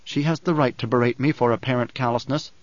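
A man voicing a grave desert emperor in an audiobook, commanding and loyal, solemn and restrained but forceful. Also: medium pitch, steady tone, fast talker, dry recording, lo-fi sound, lightly acted.

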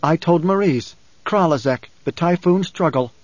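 A resolute young son and desert prophet played by a male audiobook reader speaks with grave, measured certainty. His voice sits a little high and never bends in its solemn manner. It has the dull, echoey sound of an old cassette. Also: close to an everyday voice. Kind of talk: audiobook character acting.

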